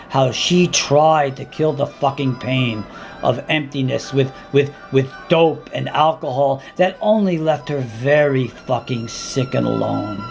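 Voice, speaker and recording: A man reading his own dramatic monologue in a deliberate, intense spoken-word style, with weighty pauses and repeated words. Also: mid-range voice, vocal fry.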